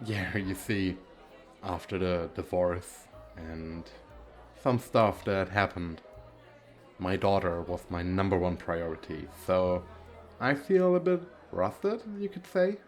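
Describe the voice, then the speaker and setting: A man acts out a gentle single dad flirting in an ASMR roleplay. The voice is low and unhurried, hesitant and self-deprecating, over a faint murmur of a crowd. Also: soft background music. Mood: shy, amused.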